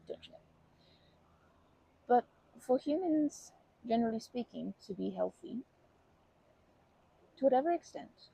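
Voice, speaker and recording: Kindly old grandma, played by a woman, for ASMR comfort roleplay: creaky, mellow, gently rambling and nurturing. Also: mid-range voice, slight background noise, rough recording. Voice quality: husky, creaky voice. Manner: gentle, rambling, halting, doting endearments.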